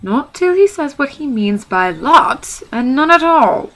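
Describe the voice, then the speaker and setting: A woman's voice: sits high, very animated, a little slow, a bit bright, pitched above the performer's own voice, full character voice. A woman voicing a mean, quarrelsome male troll who bullies and threatens in a storybook reading. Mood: suspicious. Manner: gruff, bullying.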